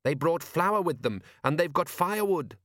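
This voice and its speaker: A man's voice: on the high side, expressive, normal speed, a bit bright, no echo, studio quality, voice pushed up. A man voicing a fisherman's young son, reasonable and mildly cheeky, with a plaintive questioning manner, in an audiobook.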